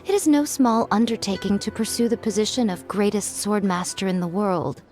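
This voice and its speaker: A woman's voice: medium pitch, moderate pace, a little mellow, soft background music, lightly acted. An actress playing a sly, knowing woman who lectures with teasing wit and amused laughter in a video game. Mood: wry.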